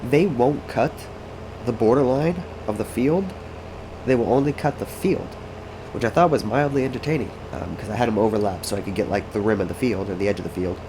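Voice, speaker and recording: A man's voice: medium pitch, fairly quick, noisy background. A congested, sniffly man giving rambling, casual commentary while playing a farming game, thinking aloud to himself and his chat. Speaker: gaming streamer.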